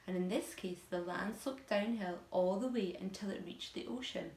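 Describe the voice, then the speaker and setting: A woman reading a children's story aloud like a teacher to pupils, in a dramatic, emphatic, expressive storytelling style. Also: mid-range voice, roomy sound.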